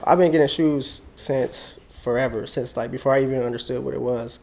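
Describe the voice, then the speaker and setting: A man's voice: mid-range voice, fairly even intonation, brisk, vocal fry, a little mellow. A man speaking casually and matter-of-factly in an interview.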